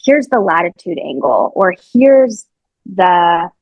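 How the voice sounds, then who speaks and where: Young woman reporter as guest, low-ish voice, chatty and loose, dry muffled remote line. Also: animated, slow pace, dry recording. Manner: chatty, lots of fillers and restarts. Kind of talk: casual interview answers.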